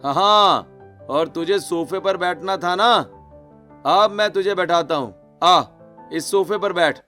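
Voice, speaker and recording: Guy as teasing big brother groom in a cartoon, slightly high voice, some music. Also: slow, pushed and bright, heavily acted. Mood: scolding. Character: wry young bridegroom, teasing older brother. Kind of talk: Hindi cartoon story acting.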